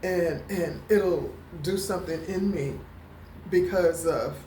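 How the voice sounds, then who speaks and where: Slow, halting woman vlogger. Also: on the low side, mellow, echoey room, slight background noise, poor audio. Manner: slow, halting, stuttering speech with many fillers; emotional, near tears at times. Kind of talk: personal talking-head vlog.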